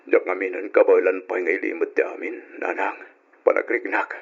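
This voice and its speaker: An actor playing an aggrieved, betrayed husband in an Ilocano radio drama, speaking earnestly with emotion and an Ilocano accent. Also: male voice, low-pitched, level tone, moderate pace, husky. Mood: sorrowful, respectful. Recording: muffled, dull old radio drama recording.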